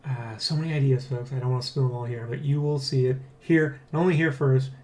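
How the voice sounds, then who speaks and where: A man hosting a development blog in casual, excitable, rambling chat, jokily boastful and swearing freely. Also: mid-range voice, fast talker. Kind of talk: casual vlog chat, addressing viewers.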